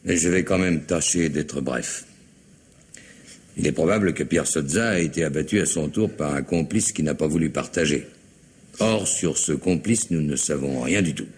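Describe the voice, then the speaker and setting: An actor playing a patient middle-aged police superintendent in a vintage French radio drama, measured, courteous and quietly insistent. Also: male voice, extremely low pitch, breathy edge, heavily acted. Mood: probing.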